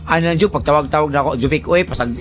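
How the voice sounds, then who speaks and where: A man's voice: a little high, racing, a bit bright. A man hosting a radio advice programme, talking conversationally in an emphatic, repetitive, colloquial way. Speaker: radio host giving advice to listeners.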